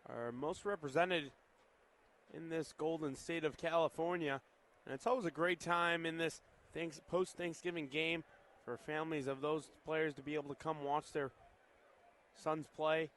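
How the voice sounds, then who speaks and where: A man's voice: a little high, steady tone, moderate pace, fairly bright tone. An American man giving color commentary and analysis on a live football broadcast.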